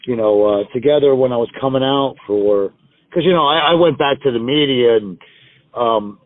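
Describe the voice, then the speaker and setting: A man's voice: medium pitch, normal speed. American guy rambling thru wrestling stories, laughs at himself a lot, tinny phone sound. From United States. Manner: rambling, self-deprecating, laughs often. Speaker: wrestler guest. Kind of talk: casual interview storytelling. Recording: tinny dry phone line.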